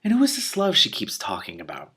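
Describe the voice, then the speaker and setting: Young storybook dragon, voiced by a man: timid, aggrieved, uneasy, lively swings in pitch. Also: fast talker, husky, exaggerated voice. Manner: plaintive, uneasy, grumbling.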